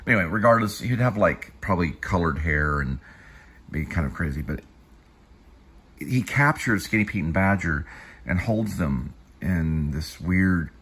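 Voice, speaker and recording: A man in his fifties talking casually in a rambling, halting way, often digressing and restarting phrases as a show host. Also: low-pitched, lively intonation, fairly bright tone, breathy edge. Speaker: show host, actor and teleplay writer. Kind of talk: rambling casual vlog talk.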